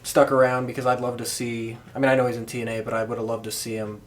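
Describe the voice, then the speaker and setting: A man hosting a podcast in casual conversation, with a rambling, halting delivery. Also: medium pitch, level tone. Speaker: podcast host. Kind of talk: casual chat.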